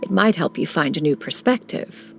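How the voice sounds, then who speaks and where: A woman's voice: low voice, animated, normal speed, vocal fry. A woman voicing an aloof ancient female immortal master who speaks in a stately, archaic way, referring to herself as "one", in a game cutscene. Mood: composed. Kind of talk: video game cutscene dialogue. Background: faint game music.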